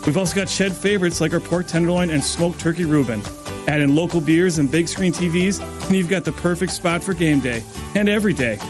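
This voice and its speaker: A man's voice: fairly high pitch, a little fast. A man reading a scripted first-person radio ad as a restaurant chef. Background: music bed.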